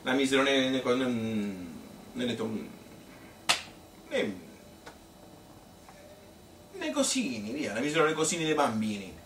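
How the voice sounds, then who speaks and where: A man playing a chatty old Tuscan grandmother who tells her life story in a colloquial, rambling, halting stage monologue. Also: medium pitch, big pitch swings. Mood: halting, reminiscing. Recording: roomy, noisy, distant stage recording.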